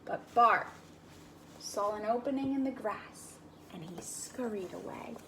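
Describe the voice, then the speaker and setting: A woman reading a picture book aloud to a child in slow, expressive narration. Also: medium pitch, slight background noise, poor audio. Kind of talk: storybook read-aloud.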